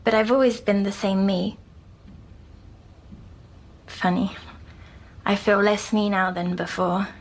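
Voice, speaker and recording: A woman playing a timid, dreamy young girl patient who recounts her nightmares in a hushed audio drama. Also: medium pitch, fairly even intonation, slow pace, long pauses. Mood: earnest, subdued.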